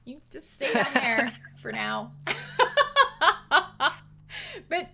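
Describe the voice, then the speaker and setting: A Canadian woman in her thirties hosts a live stream in a bright, forceful voice. Her pitch sits a little high and swings widely. She is chatty and playful, laughing often. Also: huge pitch swings, extremely slow, gravelly. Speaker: livestream host. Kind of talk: casual livestream chat.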